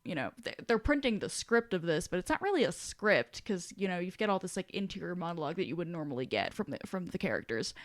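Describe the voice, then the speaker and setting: A woman's voice: on the low side, big pitch swings, quick pace, hardly any pauses, no echo, clean studio sound. A woman co-hosting a podcast in casual discussion, talking in a rambling, self-deprecating way. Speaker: podcast co-host.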